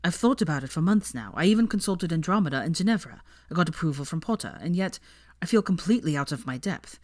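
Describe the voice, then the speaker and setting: A woman voicing an anxious young man, speaking low and breathy. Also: expressive, fast, a little mellow, no room sound, clean studio sound. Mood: troubled. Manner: low, subdued, breathy delivery.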